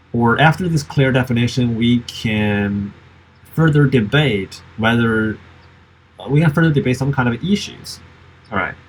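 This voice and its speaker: A man's voice: medium pitch, a little hiss or hum, rough recording. A man whose first language is Chinese, giving a reflective spoken summary with frequent fillers and restarts. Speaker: summer institute participant.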